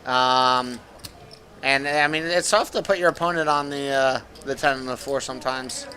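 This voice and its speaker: A man's voice: mid-range voice, moderate pace, bright, forceful tone. An American man giving casual live poker commentary as a guest, easygoing and chatty.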